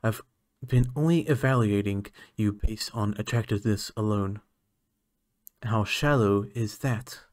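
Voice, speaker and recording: A man's voice: on the low side, measured pace, darkish tone, dry recording, studio quality, close to an everyday voice. A man playing a lonely, earnest alien scientist in an ASMR roleplay monologue, talking in a hesitant, musing way. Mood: self-critical, resolved.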